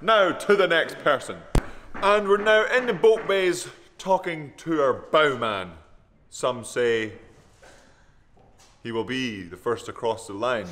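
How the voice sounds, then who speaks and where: A man's voice: mid-range voice, slow, a bit bright, roomy sound, slight background noise. A Scottish man in his twenties, jokingly interviewing his rowing crewmates for a vlog. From Scotland. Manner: jokey, teasing, laughs often. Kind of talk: playful mock interview.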